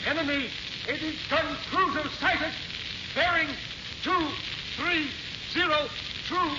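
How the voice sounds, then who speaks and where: An actor playing a tense military lookout in a 1940s radio drama, calling out reports with strain. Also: male voice, extremely high pitch, fairly even intonation, slow pace. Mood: tense, urgent. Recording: muffled, very noisy 1940s radio recording.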